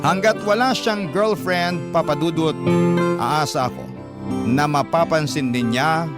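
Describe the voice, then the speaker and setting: A man's voice: fairly high pitch, a little slow. A man narrating a first-person story as the host of a radio drama. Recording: loud guitar music behind.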